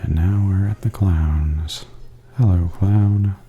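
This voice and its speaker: Very deep, hushed, slow-talking man. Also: phone-quality sound. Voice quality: soft, breathy, half-whispered. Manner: calm, unhurried, gently descriptive. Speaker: ASMR video host and tour narrator. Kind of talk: softly spoken ASMR tour commentary.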